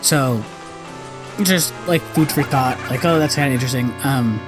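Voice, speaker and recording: A gamer chats casually through a let's play in a mid-pitched voice. The delivery rambles and doubles back to fix itself. Video game music runs behind the talk. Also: moderate pace. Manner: rambling, self-correcting. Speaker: gaming video host. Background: video game cutscene music.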